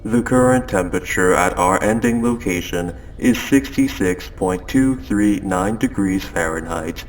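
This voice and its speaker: A man voicing a calm, possessive spaceship AI in an audio roleplay, speaking in a measured, formal, softly coaxing way. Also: on the low side, slight background noise, poor audio. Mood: polite, matter-of-fact. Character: calm, possessive spaceship AI turning quietly obsessive. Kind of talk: scripted audio roleplay monologue. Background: ambient sound effects.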